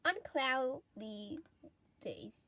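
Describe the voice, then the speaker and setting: A woman voicing a kindly, philosophical father in a storybook, with a gentle, reassuring manner. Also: high voice, expressive, extremely slow, highs cut off, heavily acted. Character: kindly, philosophical dad, a bin man.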